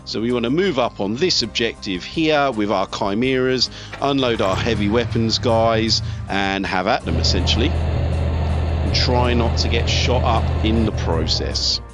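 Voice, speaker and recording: A man in middle age hosts a casual strategy game playthrough in a fairly low voice. He thinks out loud in a conversational way. Game music plays clearly behind his commentary. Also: measured pace. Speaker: video host, gamer.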